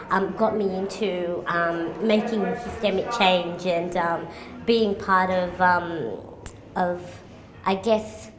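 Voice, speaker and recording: Young Australian woman, hesitant, noisy echoey room. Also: lowish voice. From Sydney, Australia. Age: 20s. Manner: hesitant, many ums. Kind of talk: self-introduction interview. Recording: echoey room with crowd chatter.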